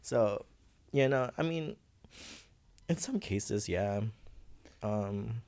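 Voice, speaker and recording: Slow, gravelly young man. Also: on the low side, expressive. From Philippines. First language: Visayan. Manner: soft-spoken, halting, searching for words, laughs nervously. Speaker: podcast guest. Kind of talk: personal story in casual interview.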